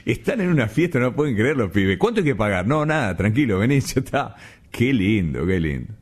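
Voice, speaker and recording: An Argentine man hosting a radio show, chatting and joking quickly. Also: mid-range voice, slightly rough. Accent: Argentine Spanish. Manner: lively, joking, quick banter. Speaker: radio host. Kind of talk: casual studio chat.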